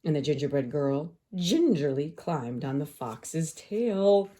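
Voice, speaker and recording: A woman teacher narrating a children's story aloud, expressive and carefully phrased for young listeners. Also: deep voice, slow pace.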